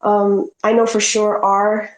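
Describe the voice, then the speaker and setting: A woman with a stuffy cold chatting casually as a guest artist on an online call, often pausing to clarify herself. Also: medium pitch, flat delivery. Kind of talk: casual chat. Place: live online audio call from New York. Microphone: headset. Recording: roomy, echoing online call.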